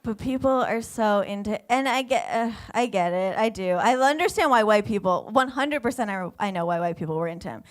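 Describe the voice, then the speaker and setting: A woman's voice: mid-range voice, lively intonation, fairly quick, hardly any pauses. A young woman from Vermont performing a stand-up comedy set on a theater stage, self-mocking and acting out the people she quotes. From Vermont, USA.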